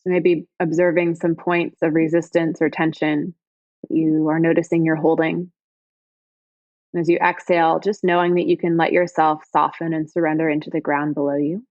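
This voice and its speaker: A woman yoga teacher leads a guided body scan meditation in a soothing, gentle way, with unhurried phrases and pauses. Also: low-pitched, level tone, no echo, muffled recording.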